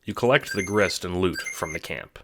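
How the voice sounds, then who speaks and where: A man's voice: low-pitched, moderate pace, background noise. A man narrating a scripted story in the second person, in the style of an audiobook.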